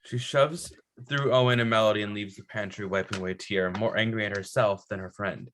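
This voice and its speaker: Guy reading out screenplay directions, kinda low voice, scripted narration. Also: steady tone, fairly quick, fairly bright tone, a little hiss or hum. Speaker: stage-direction reader. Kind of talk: scripted narration at a table read.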